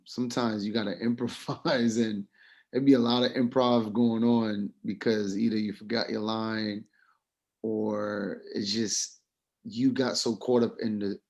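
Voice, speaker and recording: A man's voice: fairly low pitch, darkish tone. A man in a casual group discussion, sharing acting experience in a loose, colloquial way.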